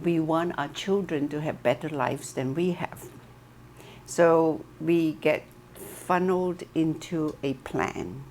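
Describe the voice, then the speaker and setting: Middle-aged woman, Chinese first language, raised in Malaysia; slow, reflective wellness talk over a video call, with faint background hiss. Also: fairly low pitch. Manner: reflective storytelling, lively emphasis on key words. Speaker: wellness speaker.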